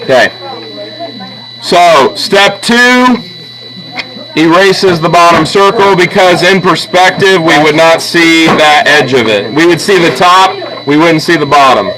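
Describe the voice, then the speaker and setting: A man's voice: a little high, unhurried, crowd noise, poor audio. A man teaching a drawing class step by step, patiently and with frequent check-ins like "okay?". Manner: patient, checks in often with "okay?" and "all right?". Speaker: art teacher. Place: classroom with students present.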